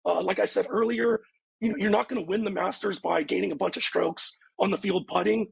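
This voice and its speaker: Husky, gravelly male analyst, laid back, dry close sound. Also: high voice, steady tone, a little fast, no echo. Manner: laid-back, filler-heavy. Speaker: golf betting analyst. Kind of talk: casual sports betting chat.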